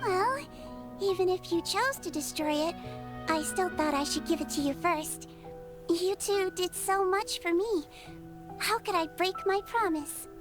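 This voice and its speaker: A small, kind girl doctor speaks softly and earnestly in a high, young tone. Her sweet voice sits over game music in a cutscene. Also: unhurried. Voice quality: soft, sweet and young-sounding. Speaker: game character. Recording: game audio with music behind.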